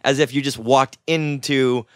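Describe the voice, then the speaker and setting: A man's voice: mid-range voice, fairly even intonation, measured pace, dry recording, clean studio sound. A man co-hosting a casual panel chat with a jokey, enthusiastic manner. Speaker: podcast co-host.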